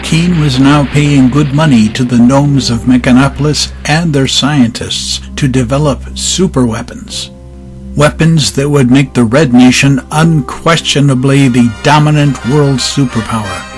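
A man's voice: medium pitch, measured pace, close to an everyday voice. A man giving scripted dramatic narration as the narrator of an audio story. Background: faint music.

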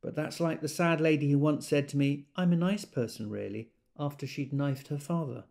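Wry male teacher, confiding, gravelly edge, audio drama monologue. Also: fairly high pitch, moderate pace, darkish tone. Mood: wry, resigned. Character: chatty, opinionated teacher dispensing blunt worldly advice.

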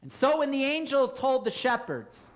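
Casting: male preacher, forties, clear teaching sermon delivery, emphatic, posing questions to listeners. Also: very high-pitched, very animated. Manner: deliberate, emphatic, explanatory. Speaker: Lutheran pastor. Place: church, at a pulpit indoors.

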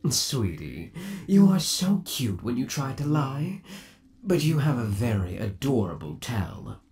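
A man's voice: medium pitch, very animated, measured pace, a little mellow, slight background noise. A man playing an undead, obsessive boyfriend trapped in a mechanical suit, sweet-talking and possessive in a theatrical ASMR roleplay. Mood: teasing. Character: undead yandere boyfriend trapped in springlock suit, menacingly doting. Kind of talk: ASMR roleplay, scripted monologue to the listener.

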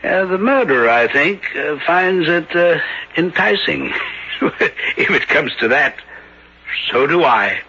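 Old radio mystery detective, kinda high for a man, super sure of himself and sly, theatrical, muffled old recording. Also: sing-song, background noise. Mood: sly, teasing. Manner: deliberate, theatrical, hesitating for effect. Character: shrewd, self-assured French amateur detective, commanding and sly.

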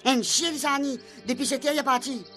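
A woman's voice: sits high, steady tone, a little fast, fairly bright tone, faint music behind, voice pushed up. A woman with a Réunionese accent voicing a brash young male island buddy in a local comedy radio soap, with bossy, scolding banter. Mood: agitated.

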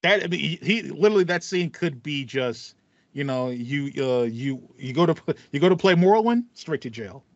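A man's voice: a little high, expressive, moderate pace, no room sound, lo-fi sound. A man in his thirties co-hosting a casual podcast, talking enthusiastically and repeating phrases for emphasis. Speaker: podcast co-host. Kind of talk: casual chat about video games. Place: small home room with foam panels, indoors. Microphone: headset on a video call.

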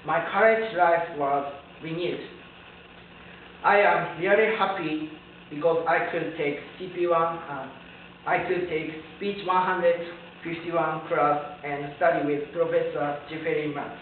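A young man from Japan, a native Japanese speaker, giving a prepared student speech in careful, halting English with a Japanese accent. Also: on the high side, steady tone. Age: young adult. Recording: tinny, echoey distant recording with faint noise.

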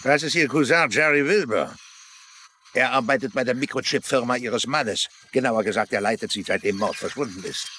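An actor playing a gruff, sceptical small-town sheriff with a dry, blunt manner in a dubbed crime drama. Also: male voice, medium pitch, normal speed, bright, forceful tone, close to an everyday voice. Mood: matter-of-fact. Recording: faint noise under dialogue.

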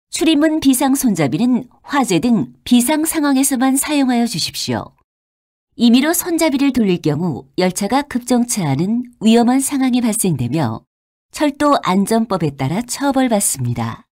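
A woman makes formal public transit announcements in a slightly high voice. Her intonation rises and falls in a lively way, each word carefully enunciated. The sound is dry and close. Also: normal speed, dry recording. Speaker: subway announcer.

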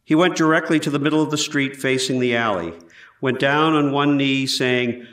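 A man playing an 18th-century surgeon who gives measured, precise testimony in a scripted trial re-enactment. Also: mid-range voice, a bit bright, close to an everyday voice. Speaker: actor in a historical trial re-enactment. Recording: roomy echo, distant from mic.

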